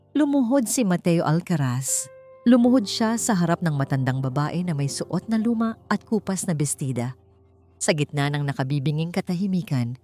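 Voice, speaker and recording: Low, mellow Filipino woman storyteller. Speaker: story narrator. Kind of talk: dramatic scripted storytelling narration.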